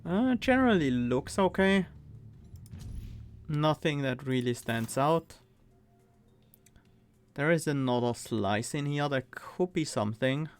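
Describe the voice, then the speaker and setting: A man with a Swiss accent, streaming a card game and thinking aloud, reading cards and weighing choices with pauses and fillers. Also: fairly high pitch, animated, clean tone, faint music behind. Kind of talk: casual gameplay commentary.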